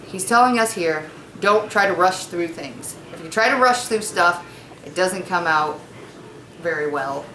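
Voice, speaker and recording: A woman's voice: fairly low pitch, lively intonation, measured pace, bright, forceful tone, roomy sound, slight background noise, low-quality recording. A woman teacher explaining a read-aloud to children in simple, informal language. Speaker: elementary school teacher. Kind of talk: read-aloud lesson with casual explanation to pupils.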